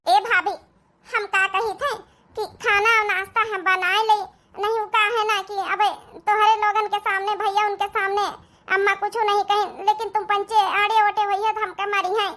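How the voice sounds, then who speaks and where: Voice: very high voice, little pitch movement, normal speed, pushed and bright. A performer voicing a meek, affectionate young village woman with an Awadhi accent, plaintive and naive.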